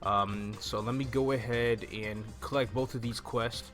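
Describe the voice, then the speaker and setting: A man's voice: mid-range voice, steady tone, unhurried, a bit bright. Young man's casual gaming commentary over music. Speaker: gaming YouTuber. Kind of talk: casual game walkthrough commentary. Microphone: desktop microphone on a stand, close. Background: game music.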